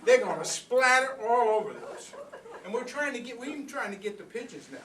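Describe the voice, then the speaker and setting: Older man playing a high-pitched, folksy old rooster. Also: lively intonation, a little fast, a bit bright. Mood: conspiratorial, indignant. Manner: folksy, rambling, conversational storytelling with repeated phrases. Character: proud, philosophical old rooster turned activist, folksy and earnest. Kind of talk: comic monologue performed live for an audience. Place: indoor workshop room before a group. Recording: echoey room, distant mic, background noise.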